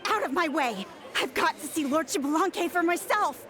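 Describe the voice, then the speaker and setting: A woman playing an eager, excitable young female fan in a video game, emphatic and earnest. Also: very high voice, normal speed, some chatter behind, slight background noise, full character voice.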